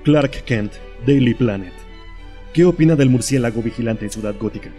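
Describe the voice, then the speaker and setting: A man's voice: mid-range voice, moderate pace, mellow, faint music behind, voice pushed down, natural voice. A man voicing a stern, guarded superhero in civilian disguise for a parody fandub, serious and restrained, with a Latin American Spanish accent. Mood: formal.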